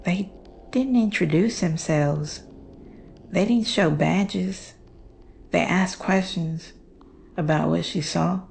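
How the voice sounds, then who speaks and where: Slow, dark-voiced haunted woman played by an actress. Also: fairly low pitch, gravelly, slight background noise. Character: haunted, fearful woman recounting her mother's terrifying secret. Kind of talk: slow dramatic horror storytelling.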